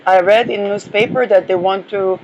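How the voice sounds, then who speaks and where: A woman's voice: medium pitch, fairly even intonation, normal speed, lo-fi sound, a little hiss or hum. A woman hosting an astrology podcast, losing her voice, talking in a rambling solo monologue full of hesitations and restarts. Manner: hesitant, many fillers and restarts.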